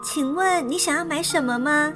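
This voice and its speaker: Woman putting on a high, genteel shopkeeper lady. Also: normal speed, natural voice. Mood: polite, coy. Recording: music behind the voice.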